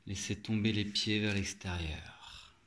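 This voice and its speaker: Slow, low-voiced man guiding relaxation. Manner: calm, measured instructions with long pauses. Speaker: yoga nidra instructor. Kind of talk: guided relaxation meditation. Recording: loud meditation music with singing bowls. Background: meditative music, singing bowl tones.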